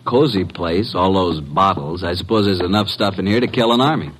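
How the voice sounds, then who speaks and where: An actor playing a breezy, wisecracking, flirtatious detective's assistant in a classic radio drama. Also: male voice, low-pitched, fairly quick, a bit bright, slight background noise, poor audio. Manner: breezy, casual, glib.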